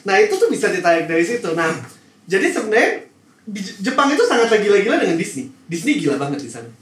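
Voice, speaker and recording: A man explaining things in a casual, somewhat halting way as a podcast panelist. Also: high voice, big pitch swings, a bit bright. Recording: echoey room, distant mic, faint noise.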